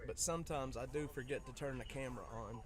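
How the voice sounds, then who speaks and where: A hoarse man, still recovering from being sick, chatting casually during a live stream, rambling with lots of fillers and restarts. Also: medium pitch, fast, noisy background. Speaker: live streamer documenting public safety. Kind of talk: casual live-stream chat while driving.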